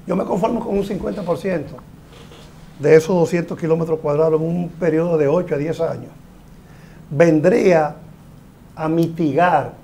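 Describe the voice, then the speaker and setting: Man, middle-aged, slightly high voice, technical and emphatic, TV studio guest, lapel mic. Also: vocal fry, warm tone, slight background noise. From Dominican Republic. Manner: explanatory, emphatic, gestures with hands. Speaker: hydraulic engineer guest. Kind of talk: TV studio interview.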